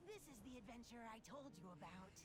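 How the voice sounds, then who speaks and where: A woman playing an eager young trainee of time magic in a video game, heard quietly under game noise. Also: fairly high pitch, lively intonation, fast, natural voice. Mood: earnest, wishful. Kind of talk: video game character dialogue.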